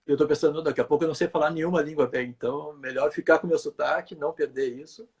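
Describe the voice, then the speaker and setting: A man from Brazil talks through his work as a physiotherapist in a relaxed interview. His voice is husky, and he hesitates often, repeating words as he explains at length. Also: a little high, very fast. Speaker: physiotherapist guest. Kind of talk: conversational interview answer.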